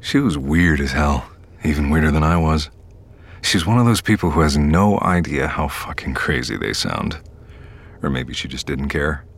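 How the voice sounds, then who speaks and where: An actor playing a wary, easygoing young man in an audio drama, hesitant and low-key, who also narrates his own eerie story. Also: lively intonation, moderate pace, heavily acted. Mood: wry narration. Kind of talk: audio fiction acting with first-person narration.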